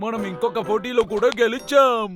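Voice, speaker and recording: Younger cartoon bear brother: high, rushed, eager and impulsive, clear music behind. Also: male voice. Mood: impulsive, playful. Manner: eager, hasty, exclamatory. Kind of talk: cartoon dub acting.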